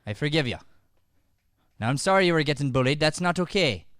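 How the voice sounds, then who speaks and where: A man's voice: on the high side, expressive, fairly quick, a bit bright, smooth, no echo, clean studio sound. A man playing a stern, pompous but forgiving schoolteacher with an Irish accent, scolding emphatically in improvised radio comedy. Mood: firm, forgiving.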